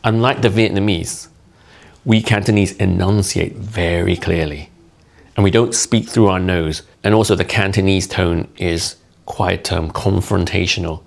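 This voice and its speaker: A man's voice: deep voice, fairly even intonation, a little slow, vocal fry. A man from Hong Kong, a content creator giving a blunt, mocking explainer to camera. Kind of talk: opinionated explainer talk to camera.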